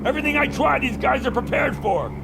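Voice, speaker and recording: A man's voice: extremely high pitch, expressive, brisk, gravelly, noisy background, exaggerated voice. A man playing a brash, combative young fighter pilot in an audio drama, urgent and exclamatory. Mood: combative urgency. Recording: loud music and engine roar behind.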